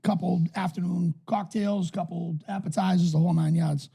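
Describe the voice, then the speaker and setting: A man with a raspy voice, co-hosting a podcast and telling stories in a casual, swearing chat. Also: high voice, steady tone, normal speed, dark tone. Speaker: podcast co-host.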